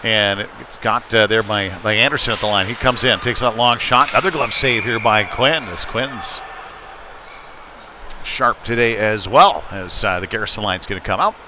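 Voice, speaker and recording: A man doing live play-by-play commentary of an ice hockey game, often pausing mid-phrase. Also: fairly low pitch. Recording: clearly noisy sports venue background.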